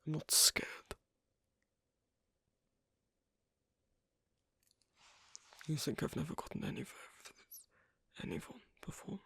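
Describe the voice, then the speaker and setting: A gravelly, very husky werewolf man played by a male performer in an intimate ASMR roleplay. He half-whispers in halting, teasing phrases, gruff and guarded yet flirtatious, recorded close and dry. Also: fairly high pitch, lively intonation, sharp tone, no echo, full character voice. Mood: hesitant, softly teasing. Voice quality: breathy, husky, mostly whispered. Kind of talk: ASMR roleplay, intimate one-sided dialogue.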